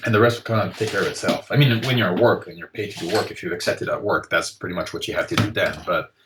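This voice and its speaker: Low-voiced guy in his 40s, chill podcast guest, lots of likes and ums. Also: fast, noisy background. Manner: relaxed, rambling, many fillers. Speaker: guest artist. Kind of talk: casual podcast interview.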